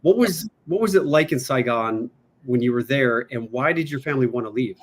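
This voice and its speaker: A man's voice: medium pitch, lively intonation, fairly quick. A man hosting a casual interview, asking long, loosely worded questions with fillers. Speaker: podcast host.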